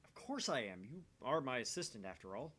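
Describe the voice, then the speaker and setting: A man's voice: mid-range voice, big pitch swings, a little fast, fairly bright tone, breathy edge. A man voicing an intense, theatrical young self-styled mad scientist from a visual novel, with grandiose, urgent delivery. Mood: urgent, grave.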